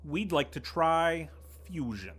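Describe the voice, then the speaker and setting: Man as smug bureaucrat, bright lively voice, glib and needling. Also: on the high side, slow pace, husky, heavily acted. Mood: businesslike. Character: smug scheming male city official, middle-aged. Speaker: acting director of utilities. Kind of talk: audio drama acting.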